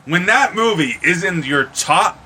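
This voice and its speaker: Male podcast co-host, sharp forceful voice on the high side, emphatic and sweary in casual movie talk. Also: expressive, a little slow, a little hiss or hum. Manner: emphatic, profane. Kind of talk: casual chat about films.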